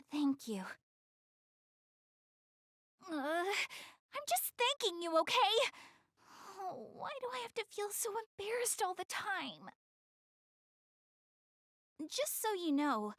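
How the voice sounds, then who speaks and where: A woman's voice: very high voice, very animated, takes it slowly, pauses often, a bit bright, no room sound, clean studio sound, full character voice. A young woman playing a proud, easily flustered, cute anime goddess girl in a video game, speaking bashfully and defensively to the player. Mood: bashful, earnest. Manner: bashful, defensive, stammering when embarrassed.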